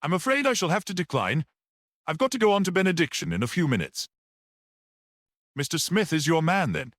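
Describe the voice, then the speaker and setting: A man's voice: on the high side, big pitch swings, fairly quick, a bit bright, dry recording, studio quality, pitched above the performer's own voice. A man voicing a grim, coolly defiant storybook character with a curt, sinister politeness. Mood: impatient. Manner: curt, impatient, urging.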